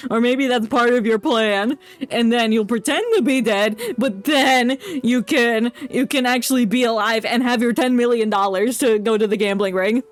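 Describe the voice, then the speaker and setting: A woman's voice: fairly high pitch, moderate pace. A woman live-streaming a game with casual, rambling, joking commentary, often mimicking the characters. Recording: stream with game music behind.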